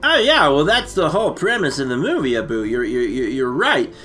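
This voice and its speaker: A man co-hosting a casual comic movie recap, rambling and halting, full of slang. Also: medium pitch, sing-song, sharp tone, faint music behind. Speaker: comedy storytelling co-host.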